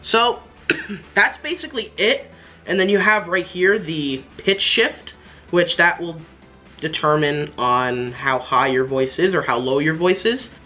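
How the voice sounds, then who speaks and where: Young guy giving a bright-voiced tutorial. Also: fairly high pitch, measured pace, faint music behind. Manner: informal, repetitive explaining. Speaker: tutorial host. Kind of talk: casual app tutorial.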